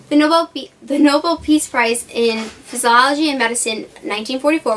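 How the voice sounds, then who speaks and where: A woman's voice: fairly high pitch, a bit bright, a little hiss or hum, poor audio. A woman reading weather and achievement news for a school skit, earnest and careful. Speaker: mock weather and news reporter.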